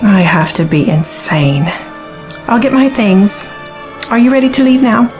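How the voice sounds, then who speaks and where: A woman's voice: on the high side, expressive, unhurried, a little mellow, soft background music. An actress playing a hard-bitten, sceptical woman reporter and pilot in a science fiction audio drama. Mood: resigned. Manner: matter-of-fact, sceptical.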